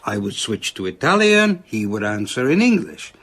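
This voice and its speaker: An actor playing an earnest, proud doctor in a radio drama, speaking formally and emphatically. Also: male voice, lowish voice, big pitch swings, normal speed, fairly bright tone, breathy edge, exaggerated voice. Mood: solemn recounting. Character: earnest foreign male doctor, proud of his reputation.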